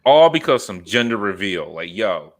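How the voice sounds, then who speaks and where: A man's voice: lowish voice, very animated, normal speed. A man in his thirties hosting a podcast, chatting casually and telling jokes with quoted asides. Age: 30s. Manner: joking, storytelling with quoted asides. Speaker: podcast host. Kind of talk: casual chat.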